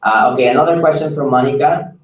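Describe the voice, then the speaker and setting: A man's voice: mid-range voice, fast, lo-fi sound. Male moderator for an informal staff Q&A call, voice set back from the mic in a roomy space. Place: echoey room on a conference call. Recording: echoey room, distant conference mic.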